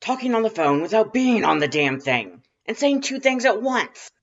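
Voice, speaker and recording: A loud, irate skeleton brother, rough and gravelly, pitched well above the reader's voice. He is crude and aggressive, barking in a fan-fiction audio story, on a slightly dull recording. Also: big pitch swings, moderate pace. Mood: irritated yelling. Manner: shouting, crude, aggressive, swearing.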